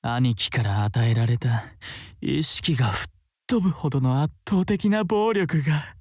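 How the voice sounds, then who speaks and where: A man's voice: mid-range voice, a little fast, no echo, clean studio sound, close to an everyday voice. An actor playing a troubled, obsessive young man in a visual novel, intense and pleading. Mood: dark, confessional. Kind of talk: Japanese visual novel acting. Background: soft game music.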